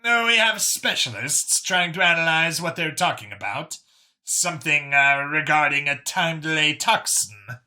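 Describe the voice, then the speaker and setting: A man voicing a composed, commanding female alien council chair with formal, emphatic, measured speech in an audiobook story. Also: a little high, animated, bright, forceful tone, voice pushed up, full character voice.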